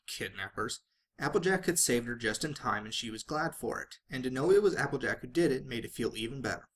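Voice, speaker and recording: A man's voice: medium pitch, fairly bright tone. A man reading fan fiction aloud as a dramatic narrator, sometimes stumbling and rereading phrases.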